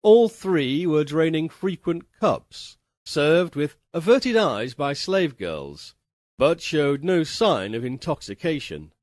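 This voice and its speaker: A man reading a literary fantasy tale as dramatic narration, with a theatrical, deliberate and wryly formal delivery. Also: a little high, no room sound, studio quality. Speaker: audiobook reader.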